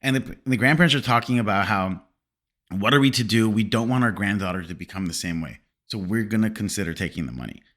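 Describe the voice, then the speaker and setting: Man with a lowish voice, native Farsi speaker. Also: fast talker. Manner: animated, laughing, self-correcting. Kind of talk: casual film discussion.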